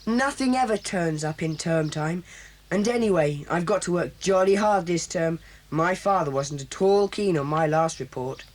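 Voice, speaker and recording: A woman's voice: lowish voice, natural voice. A woman playing a bossy schoolboy leader. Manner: brisk, bossy, takes charge. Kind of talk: 1980s audio drama.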